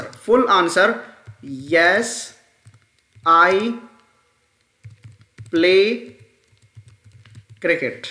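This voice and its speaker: High, bright-voiced male teacher in echoey room. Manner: repeats key terms, checks in often. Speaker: English grammar teacher. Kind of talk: explanatory screen-recorded lesson.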